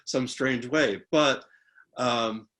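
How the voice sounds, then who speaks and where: A man hosting a video call interview, speaking haltingly with stammers and restarts. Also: mid-range voice, pushed and bright. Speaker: host interviewer. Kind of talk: conversational interview.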